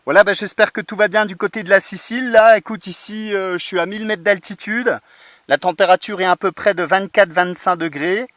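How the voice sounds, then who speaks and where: A man's voice: sits high, fairly even intonation, brisk, a bit bright, no echo. Casual man on radio, dry close sound. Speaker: amateur radio operator. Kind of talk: casual radio contact chat. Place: outdoors on a mountain at a portable radio station.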